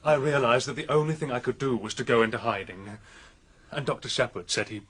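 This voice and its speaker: A man portrays a young gentleman under suspicion in a radio whodunit. He sounds sincere and slightly halting, with a breathy, husky voice at an ordinary male pitch, as if making a confession. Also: fairly even intonation. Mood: earnest, contrite. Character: earnest young gentleman, a suspect on the run. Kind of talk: radio mystery drama acting.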